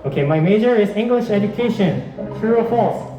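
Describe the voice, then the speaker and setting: A young man from South Korea leads a classroom quiz in a slightly high voice, friendly and full of fillers and restarts. The sound is roomy and a little muffled, with faint noise behind it. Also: animated, fairly quick, over loud music. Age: 20s. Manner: chatty and encouraging, with fillers and restarts. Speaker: exchange student presenting a quiz. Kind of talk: classroom presentation.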